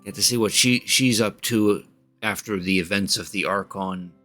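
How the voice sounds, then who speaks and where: A man's voice: fairly low pitch, moderate pace, bright, forceful tone. A man giving casual live commentary as he streams a game, with offhand asides and short reactions. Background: game music.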